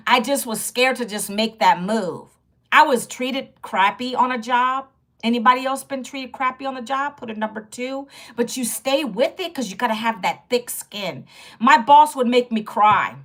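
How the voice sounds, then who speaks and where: A woman's voice: on the high side, moderate pace, a bit bright. A woman in her fifties from Virginia, hosting a casual livestream and chatting directly with her viewers about losing her job. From Virginia, United States. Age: 50s. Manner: chatty and direct, greets and addresses viewers often. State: sore back, fearing loss of voice. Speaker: livestream host.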